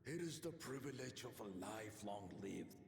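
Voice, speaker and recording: An actor playing a huge, proud old warrior king with a gravelly, commanding voice in a fantasy game. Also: mid-range voice, animated, normal speed. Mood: grand, approving. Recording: game audio with long hall reverb, hiss.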